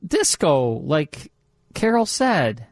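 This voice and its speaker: A man's voice: a little high, very animated, extremely slow, dry recording. A man hosting a radio show with casual banter and program previews, joking at his own expense in rambling asides. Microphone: close studio microphone.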